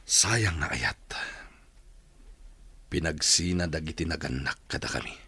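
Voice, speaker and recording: A lovelorn radio drama character with a very low voice. His delivery is husky and conversational, with a wistful longing for an old love. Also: measured pace, bright, forceful tone, no echo, exaggerated voice. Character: lovelorn man searching for his long-lost former sweetheart.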